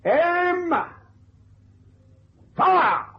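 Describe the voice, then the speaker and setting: Old army general for radio drama: brisk, callous, clipped orders, high swooping voice, dull vintage sound. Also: male voice, big pitch swings, gravelly, exaggerated voice. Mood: barking orders. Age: older. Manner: clipped, commanding military bark. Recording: muffled, thin vintage radio recording.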